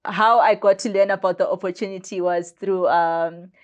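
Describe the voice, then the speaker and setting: A woman's voice: lowish voice, fairly even intonation, normal speed. A young woman of about twenty, chatting as an interview guest and telling her story with plenty of "like" fillers. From Zimbabwe. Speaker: guest, biomedicine student. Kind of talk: casual storytelling in conversation.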